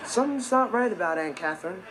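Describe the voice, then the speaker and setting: A man's voice: sits high, animated, fast, over a noisy crowd, very noisy. A young man with an American accent playing a dramatic, easily flustered teenage stepbrother, theatrical and emphatic in a sitcom monologue. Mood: confiding. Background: loud music.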